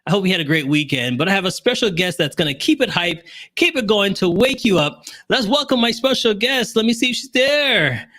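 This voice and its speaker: An upbeat man with a fairly high voice, hosting a casual talk show. He chats in an animated, conversational way. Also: fairly quick, hardly any pauses. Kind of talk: upbeat casual interview chat.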